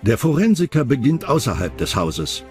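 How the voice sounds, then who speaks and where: A man's voice: medium pitch, very animated, moderate pace, a little mellow, faint music behind. A man reads scripted documentary narration as the narrator of a crime series.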